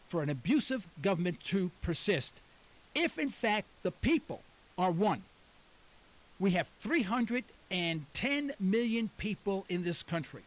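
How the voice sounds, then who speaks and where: Lively, high-voiced man in his sixties. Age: 60s. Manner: emphatic, repeats words for stress. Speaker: radio talk show host. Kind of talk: talk radio monologue.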